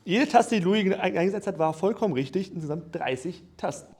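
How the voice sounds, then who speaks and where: A man assisting on a comedy game show, briskly reading out scores and answering the judge. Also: sits high, animated, heavy background noise. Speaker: taskmaster's assistant.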